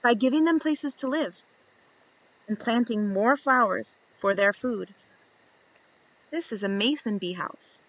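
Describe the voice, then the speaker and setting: A woman reading a picture book aloud to young children, expressive and instructive, putting questions to her listeners and answering them. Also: on the high side, unhurried, long pauses, low-quality recording.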